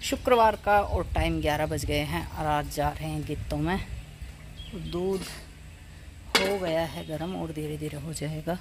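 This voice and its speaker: A woman's voice: low voice, a little slow. Chatty village woman. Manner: chatty, shows things to camera. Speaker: village vlogger. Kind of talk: casual vlog narration. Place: outdoors in a village yard. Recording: outdoor noise behind the voice.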